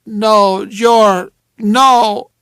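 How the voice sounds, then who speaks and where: A man's voice: very high-pitched, drawn-out words, vocal fry, no echo, pitched above the performer's own voice, exaggerated voice. A man voicing a drugged, half-conscious young woman who slurs, protests and pleads while shivering. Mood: angry. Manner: slurred, tearful, shivering.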